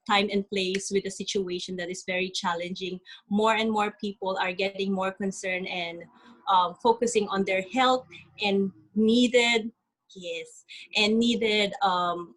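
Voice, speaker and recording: A woman from the Philippines, giving an earnest talk on a video call, often pausing with "um". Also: medium pitch, steady tone, a bit bright, rough recording. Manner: earnest, halting with many ums. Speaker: guest speaker. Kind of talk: webinar presentation.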